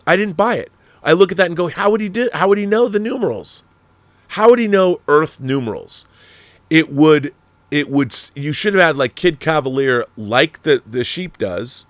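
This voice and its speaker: A man's voice: on the high side, animated, moderate pace, dry recording. A man hosting a commentary show, talking casually and opinionatedly in a rambling, emphatic way, repeating himself and swearing freely. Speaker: host of a film and TV commentary show. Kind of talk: casual opinionated commentary, answering viewer messages.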